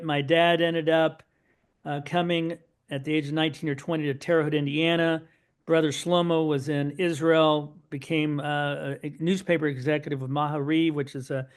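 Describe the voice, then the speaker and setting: A middle-aged man, his voice sitting a touch high for a man. He tells long family anecdotes in a lively, rambling way, stacking one aside on another. His pitch rises and falls a lot as he talks. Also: smooth. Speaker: podcast guest, son of Holocaust survivors. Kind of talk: storytelling in an interview.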